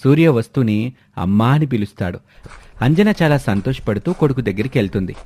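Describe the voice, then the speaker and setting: A man narrating a children's moral story in a steady, plain way. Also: mid-range voice, brisk, slightly rough.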